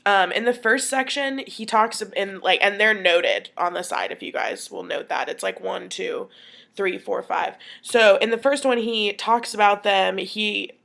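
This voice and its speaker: A woman's voice: medium pitch, unhurried, gravelly, fairly bright tone. A young woman student with a cold, chatting casually and thinking aloud as she records her response for a class. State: sniffly from a cold.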